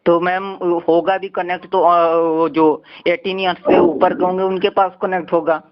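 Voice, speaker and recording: High-voiced pleading young man on a dull call. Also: little pitch movement, moderate pace. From Bihar, India. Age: young adult. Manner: pleading, persistent, argumentative. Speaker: channel host confronting callers. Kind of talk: recorded phone argument. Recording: dull lo-fi phone call recording.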